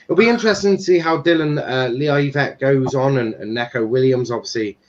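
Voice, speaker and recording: A man's voice: mid-range voice, a little fast, slight background noise. A young man hosting a casual football commentary stream, rambling with fillers and swearing. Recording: dull lo-fi stream audio.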